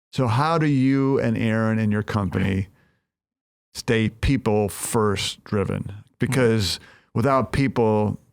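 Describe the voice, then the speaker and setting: A man hosting a podcast leads the conversation with questions and backs his guest up with quick "yeah"s and "sure"s. His voice sits fairly low with a gravelly edge, and the sound is close and dry. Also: no room sound. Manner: encouraging, brief affirmations. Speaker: podcast host. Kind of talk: interview questions.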